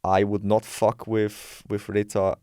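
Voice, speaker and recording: Young man, lowish voice. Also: little pitch movement, slow, mellow, clear, resonant tone, dry recording, studio quality. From Switzerland. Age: 20s. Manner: frank, opinionated, thinks aloud with restarts. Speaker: guest, bodybuilding and health coach. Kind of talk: casual podcast interview.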